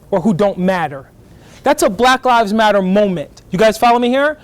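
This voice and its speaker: A middle-aged man giving a lively college lecture in fast bursts, often checking whether the class is following. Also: sits high. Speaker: guest lecturer, professor.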